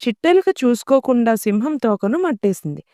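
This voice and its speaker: A woman's voice: a little high, lively intonation, brisk, warm tone, no echo, studio quality. A woman storyteller reading scripted narration for a children's story. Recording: music behind the voice.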